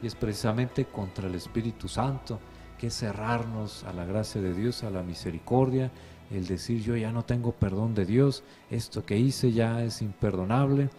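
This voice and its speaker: Man, priest, low mellow voice, patient explaining. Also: normal speed, soft background music. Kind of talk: religious talk show.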